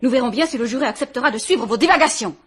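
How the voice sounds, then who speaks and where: A woman's voice: fairly high pitch, expressive, very fast, slightly rough. A woman playing a cool, defiant murder suspect, speaking in an icy, haughty, sarcastic manner in a vintage radio drama.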